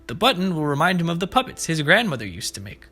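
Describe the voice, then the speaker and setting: A man's voice: on the high side, very animated, quick pace, bright, forceful tone, breathy edge. A man hosting preschool story time, reading aloud and explaining things simply and expressively to young children. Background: background music.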